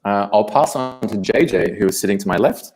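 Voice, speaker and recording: A man's voice: lowish voice, a little fast, a little mellow, soft background music. A startup co-founder introducing his project at a panel, hesitant with many fillers.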